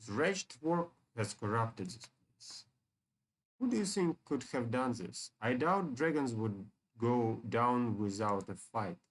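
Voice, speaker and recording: An earnest hero from a fantasy game speaks, read aloud by a man. The delivery is solemn and declamatory, at an average male pitch. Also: expressive, takes it slowly. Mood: grave. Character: earnest fantasy heroes returning to a ruined dragon village.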